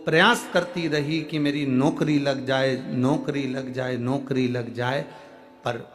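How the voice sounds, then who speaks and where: An Indian man from Madhya Pradesh giving a devotional sermon, reading a listener's letter aloud, emphatic and alternating loud declarations with hushed lines. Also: mid-range voice, fairly even intonation, slow, over music. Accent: Madhya Pradesh Hindi. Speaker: katha preacher. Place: large outdoor religious gathering.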